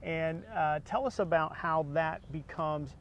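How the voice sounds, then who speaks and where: Middle-aged male interviewer, slightly high pitch, conversational and halting, noisy recording. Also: steady tone, lo-fi sound. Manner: rambling, halting questions. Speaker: interviewer, faculty member and former football coach. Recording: clear background noise.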